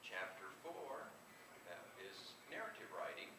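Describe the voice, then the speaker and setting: A man presenting his own book informally at a live event, with a halting, hesitant delivery. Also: on the low side, big pitch swings. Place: convention room, live event. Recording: echoey, noisy room from far off.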